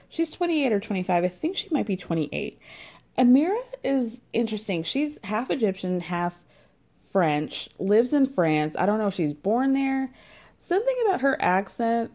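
Gossipy sarcastic woman with a mellow voice. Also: medium pitch. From United States. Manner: sarcastic, gossipy, lots of fillers and laughs. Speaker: commentator recapping a reality dating show. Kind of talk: casual chatty commentary.

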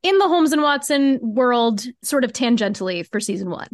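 A woman's voice: on the high side, expressive, normal speed, no room sound, clean studio sound. A woman talking casually as an interview guest, wry and self-deprecating. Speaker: podcast guest, fiction podcast co-creator.

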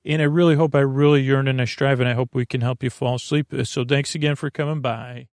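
Gravelly guy rambling on a bedtime podcast, keeps losing his thread, close dry mic, bit of music behind. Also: mid-range voice, fairly even intonation, a little mellow, no room sound. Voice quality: gravelly, creaky. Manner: meandering, halting, trailing off mid-thought. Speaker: podcast host.

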